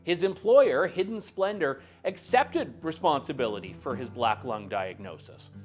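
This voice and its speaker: A man's voice: on the high side, lively intonation, moderate pace, soft background music. A man narrating a documentary in a scripted, pointed, accusatory delivery.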